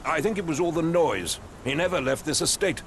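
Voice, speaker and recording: An actor playing a pompous, impatient middle-aged captain in a video game, with an English accent and clipped, condescending speech. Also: male voice, a little high, a little fast, slight background noise, close to an everyday voice. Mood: dismissive. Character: pompous, impatient male English captain, haughty wealthy master.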